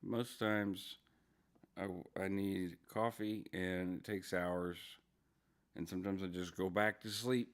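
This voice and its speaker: A man with a very deep, gravelly voice, still half asleep, chats at an unhurried pace with viewers on his morning stream. Under the grit, his tone stays smooth and resonant, and he drifts from one thought to the next. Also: steady tone. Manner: drowsy, rambling, greets chatters by name. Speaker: livestream host. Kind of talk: casual morning chat with viewers.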